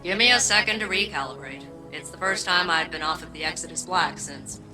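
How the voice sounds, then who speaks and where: A woman's voice: low voice, a little fast, over music, close to an everyday voice, rough recording. A woman playing a wry, sarcastic female companion in a video game, casual and needling in manner. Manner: sardonic, casual. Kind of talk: video game cutscene acting.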